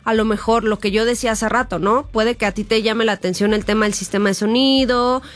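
Higher-pitched Mexican woman, casual co-host. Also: fairly even intonation, brisk. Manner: teasing, informal. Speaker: car show co-host. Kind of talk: casual chat.

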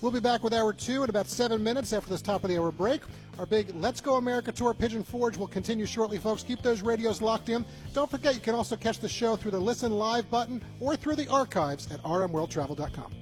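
A man's voice: high-pitched, fast talker, soft background music, low-quality recording. A man hosting a travel radio show in an unscripted, conversational style.

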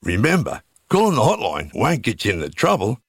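Ad read, male: gravelly voice, lowish pitch, reassuring call-to-action. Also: huge pitch swings, fairly quick, dry recording, clean studio sound. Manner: reassuring, urging listeners to call. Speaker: ad voice-over. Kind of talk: scripted radio ad read.

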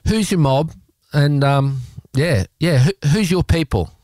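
A man's voice: fairly high pitch, lively intonation, measured pace, a little mellow, dry recording, clean studio sound. A man with an Australian accent presenting a radio show and interviewing in a casual, rambling, jokey way.

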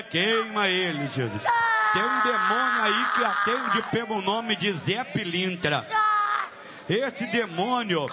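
A Brazilian man, a Pentecostal pastor, preaching a fiery deliverance prayer with forceful, repeated shouted commands in a dull church recording. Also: high-pitched, background noise.

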